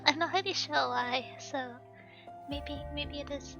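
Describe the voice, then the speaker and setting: Woman streamer, soft-spoken, slightly hoarse; high, bright tone, faint background music. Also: a little slow, lo-fi sound. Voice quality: softly spoken. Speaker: game streamer. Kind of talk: casual live-stream chat while gaming. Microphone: desk microphone at home.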